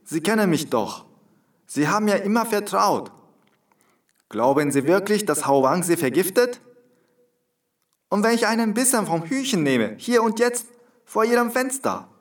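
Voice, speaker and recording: Slightly high voice, lively intonation, polite coaxing friend, radio play, pleading, loyal. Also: male voice, moderate pace, long pauses, far from the mic. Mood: pleading, worried. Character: gentle, pleading, loyal male colleague. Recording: off-mic, as if calling from outside.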